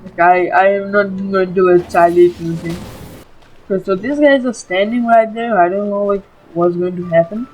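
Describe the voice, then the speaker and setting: A casual gaming YouTuber talking through play in a mid-pitched voice. The phrasing is informal and full of filler, with game music faintly behind. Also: normal speed, darkish tone, rough recording. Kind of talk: casual let's-play commentary.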